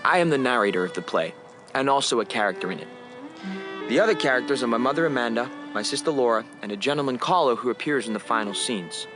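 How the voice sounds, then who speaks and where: An actor playing a wistful young son who narrates his memory play in a poetic, measured radio drama monologue, addressing the audience. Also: mid-range voice, close to an everyday voice, poor audio.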